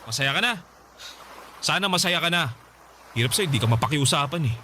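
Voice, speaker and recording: A man's voice: fairly high pitch, expressive, brisk, sharp tone, slight background noise. A man playing a torn, defensive boyfriend and devoted father who pleads his case in a Filipino radio drama. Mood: resentful. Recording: music behind the voice.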